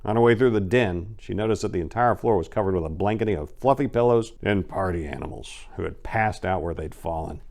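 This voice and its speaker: A man's voice: deep voice, brisk, creaky, hardly any pauses, darkish tone. A man narrating a crime short story as a dramatic reading, with theatrical, emphatic delivery.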